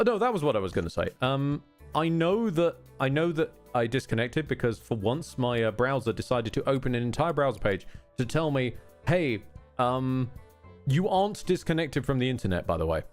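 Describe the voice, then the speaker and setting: A male live streamer with an animated, swinging pitch talks casually through a game. He grumbles wryly, swears freely and often breaks off his own sentences, with music playing faintly behind him. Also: normal speed. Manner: sweary, self-interrupting, wry grumbling.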